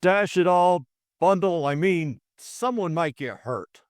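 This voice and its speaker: A man's voice: sits high, expressive, slow, dry recording, clean studio sound, pitched above the performer's own voice. A man voicing an anxious, earnest upper-class young man in an audiobook drama, hesitant and full of old-fashioned exclamations. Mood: agitated, pleading.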